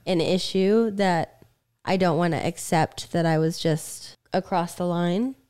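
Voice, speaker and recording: A woman co-hosting a podcast, talking candidly and reflectively with frequent restarts, her voice slightly raspy. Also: lowish voice, darkish tone. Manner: reflective, speaks in halting restarts. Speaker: podcast co-host. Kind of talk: candid conversation.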